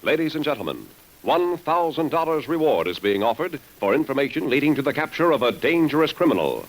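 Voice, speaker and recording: A man announcing formally and declamatorily as a 1950s radio sponsor pitchman. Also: sing-song, fairly quick, rough recording. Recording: vintage radio recording with music. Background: music.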